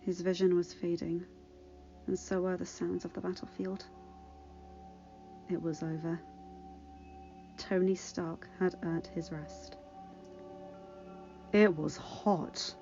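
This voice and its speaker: A woman reading a story aloud as a podfic narrator, dramatic and with emphatic pauses. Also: on the low side, flat delivery, dark tone, muffled recording, faint music behind.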